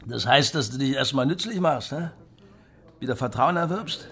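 An actor playing a boastful, hard-drinking East German secret police lieutenant in a radio drama, crude, condescending and tipsy. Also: male voice, medium pitch, expressive, normal speed, fairly bright tone, breathy edge. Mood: needling, probing. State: drunk.

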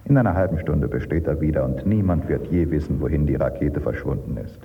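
Radio play: calm man in command, very deep, gravelly, heard far off in a reverberant hall. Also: a little fast. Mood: brisk, serious. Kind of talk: German radio drama acting. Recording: dull, distant, in a long-reverb hall.

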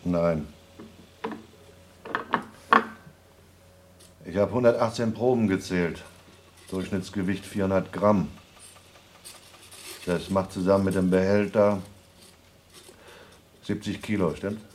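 An actor in a radio play playing a gruff, sardonic male astronaut with a dry, grumbling way of talking. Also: low-pitched, fairly even intonation, measured pace, long pauses, darkish tone, slight background noise. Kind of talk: radio drama acting.

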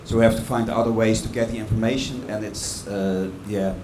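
A man on a panel answering audience questions with a hesitant, measured delivery full of fillers. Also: on the low side, steady tone. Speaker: panel speaker. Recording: echoey room with background noise.

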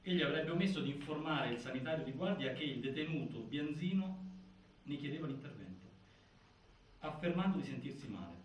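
A man playing a grave narrator of a prison-death inquiry, with deliberate, broken phrasing in a stage drama. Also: on the high side, animated, close to an everyday voice. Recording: very echoey, distant, faint noise.

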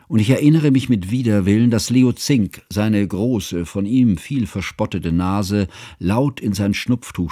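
Low, mellow earnest scholarly narrator. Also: male voice, dry recording, studio quality. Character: earnest, fussy, devoted scholarly friend recounting events. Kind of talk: literary radio drama narration.

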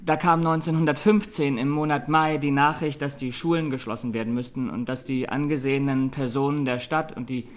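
An actor playing a haunted young Armenian defendant giving grave, deliberate testimony in a courtroom radio drama. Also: male voice, medium pitch, fairly even intonation, lightly acted. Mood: sombre recounting.

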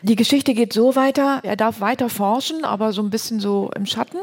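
A woman's voice: fairly high pitch, brisk, husky. A woman hosting a talk, retelling a story in colloquial, plain-spoken language and putting questions to her guest. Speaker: host.

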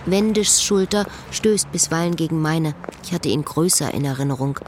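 An actress as pensive, wry female storyteller, lowish voice. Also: moderate pace, noisy background, lightly acted. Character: melancholy, wry woman narrating her own story. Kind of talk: literary radio play, inner monologue and dialogue.

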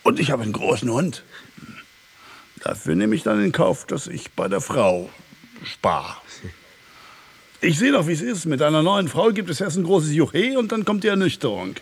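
An actor playing a world-weary, venal prison guard in a German radio drama, with a dry, blunt, musing way of talking. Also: male voice, a little high, very animated, moderate pace, long pauses, breathy edge, full character voice. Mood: dry, sly musing.

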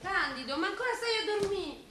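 A woman's voice: very high-pitched, animated, a little fast. An actress playing a bustling, scolding, motherly working-class Roman woman in a dialect radio drama, with a Roman accent. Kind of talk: stage-style dialect radio drama acting. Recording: very echoey noisy stage, crowd sound.

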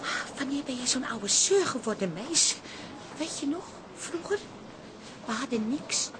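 A woman playing a bossy but sentimental middle-aged wife in a Dutch radio comedy, expressive and dramatic. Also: high-pitched, a little slow. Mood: scolding, then wistful. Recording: busy background ambience noise.

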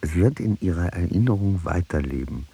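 A man narrating in a radio play, reading texts out in a deliberate, husky voice. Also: deep voice, animated, mellow, heavily acted.

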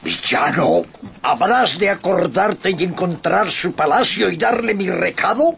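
An actor playing a proud, deluded old knight with pompous, archaic, declamatory speech in a radio drama. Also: male voice, sits high, sing-song, a little slow, vocal fry, a bit bright, slight background noise, heavily acted. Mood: indignant.

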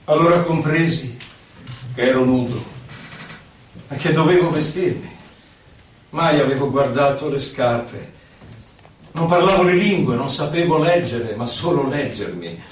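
A man's voice: on the high side, a little slow, long pauses, a little hiss or hum, natural voice. A man playing an anguished, impassioned poet, reciting verse in a solemn, declamatory, theatrical way. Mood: sombre. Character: anguished male poet on an inward journey, impassioned. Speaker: stage actor. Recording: very echoey hall, distant microphone.

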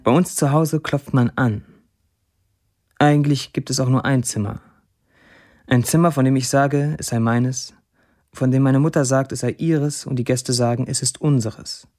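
An actor playing a brash young Syrian writer, delivering an intimate first-person monologue in a radio drama. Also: male voice, medium pitch, moderate pace, a little mellow, lightly acted. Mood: candid, intimate. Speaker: lead actor reading a writer's status posts.

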